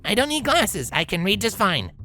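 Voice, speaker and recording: Woman playing a brash, animated pegasus mare. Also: medium pitch, moderate pace, soft background music. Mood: defensive. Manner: casual, blustering. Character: brash young pegasus mare, proud and defensive.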